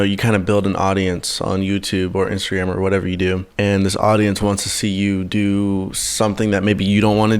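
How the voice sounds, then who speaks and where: A man interviewed as a content creator, talking in a relaxed, thoughtful way. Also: low-pitched, clean tone. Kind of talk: casual interview.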